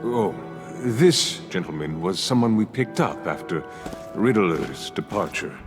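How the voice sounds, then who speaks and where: A man's voice: fairly low pitch, lively intonation, unhurried. An actor playing a genteel, wordy male villain in a video game, pompous and dryly polite. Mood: smug, courteous. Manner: pompous, florid, alliterative. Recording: game audio under loud music.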